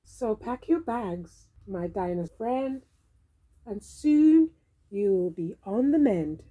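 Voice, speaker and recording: Female storybook reader for young children: theatrical, expressive, unhurried, with a breathy, husky voice. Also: medium pitch, phone-quality sound. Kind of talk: expressive children's read-aloud.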